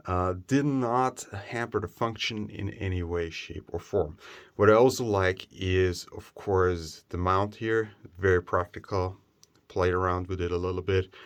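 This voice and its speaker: Low-voiced man reviewing gear. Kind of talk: casual unscripted product review.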